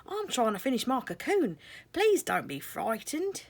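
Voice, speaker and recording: A woman's voice: sits high, wildly swooping pitch, measured pace, voice pushed up, heavily acted. A woman voicing a friendly, sleepy male caterpillar in a children's storybook, in a drowsy, yawning manner. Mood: friendly, reassuring. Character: friendly sleepy male caterpillar turned moth, gentle and kindly.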